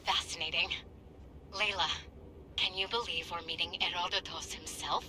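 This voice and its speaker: A woman's voice: on the low side, fairly even intonation, normal speed, background noise, close to an everyday voice. An actress playing an eager, bookish young woman researcher in a video game, earnest and enthusiastic. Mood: eager, admiring. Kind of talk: video game cutscene acting.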